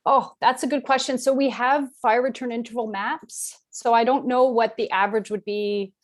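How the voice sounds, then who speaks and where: A woman's voice: fairly high pitch, fairly even intonation, normal speed. A woman finishing her conference talk on a video call and answering a question, casual with many fillers. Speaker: conference presenter.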